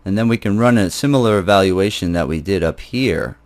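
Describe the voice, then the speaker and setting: Low-voiced man giving a casual tutorial. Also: a little fast. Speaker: programming tutorial instructor. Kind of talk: informal screencast tutorial, explaining code step by step.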